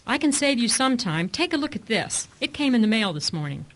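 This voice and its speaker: An actress playing a prim, dryly teasing detective's secretary in a radio drama. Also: female voice, on the high side, sing-song, a little fast, a bit bright. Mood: persuasive. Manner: dry, teasing, proper.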